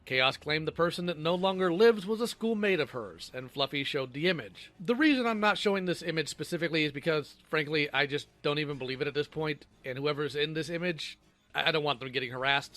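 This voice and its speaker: A man's voice: a little high, fairly quick, sharp tone. A man's synthetic robot voice reading a scripted, sardonic narration. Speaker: video creator responding to allegations.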